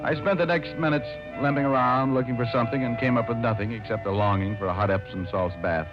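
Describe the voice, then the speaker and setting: A man's voice: medium pitch, fairly even intonation, fast, natural voice. A man acting a dry, tough, wisecracking city detective in old-time radio style. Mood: dry narration. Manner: dry, sardonic. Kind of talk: 1950s radio acting, first-person narration and dialogue. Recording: muffled vintage radio recording, music behind.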